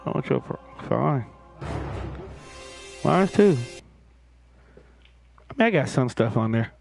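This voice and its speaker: A man's voice: medium pitch, animated, slow pace, vocal fry, darkish tone. A man chatting casually and giving slangy, laid-back instructions during a video game. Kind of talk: casual chat while coaching a video game. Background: music.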